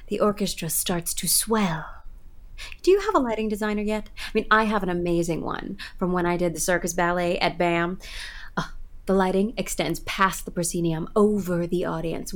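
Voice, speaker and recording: A woman's voice: mid-range voice, close to an everyday voice. A woman playing a confident avant-garde director-choreographer who speaks in a dramatic, rhapsodic way. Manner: dramatic, self-assured, rhapsodic. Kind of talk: scripted audio comedy acting.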